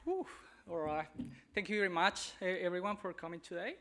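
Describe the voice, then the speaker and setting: A man from Colombia with a Colombian Spanish accent, a native Spanish speaker, giving a conference presentation in a halting way, searching for words and repeating himself. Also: high voice, expressive, a crowd in the background, background noise. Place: conference hall.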